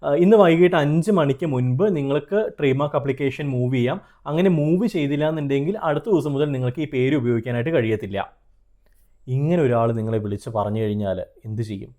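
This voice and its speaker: A man's voice: mid-range voice, fairly quick, dark tone. A man with a Malayali accent explaining and advising viewers directly in an earnest, conversational way, mixing in English terms. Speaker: trademark consultant and video host. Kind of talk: explanatory advice straight to viewers.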